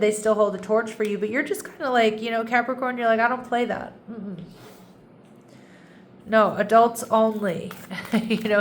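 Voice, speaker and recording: A woman talking through a tarot reading in an easy, chatty way, her voice a little nasal from congestion. She often restarts and loops back mid-sentence. A faint hum of ambience sits behind her. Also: mid-range voice. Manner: chatty, warm asides, frequent restarts. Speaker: tarot reader.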